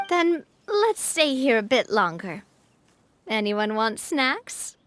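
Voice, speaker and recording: A woman playing a gracious, upbeat young female boss in a video game, speaking in a polite, friendly and expressive way. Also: on the high side, slow pace, exaggerated voice. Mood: playful, inviting. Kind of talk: animated video game voice acting. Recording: soft game music behind.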